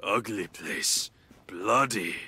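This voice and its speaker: A man's voice: lowish voice, extremely slow, a little hiss or hum, heavily acted. A man voicing an intense, arrogant monster-slayer in a video game, barking terse, disdainful combat lines. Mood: grim, disdainful. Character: intense, arrogant male monster-slaying fighter. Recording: very loud gunfire over the voice.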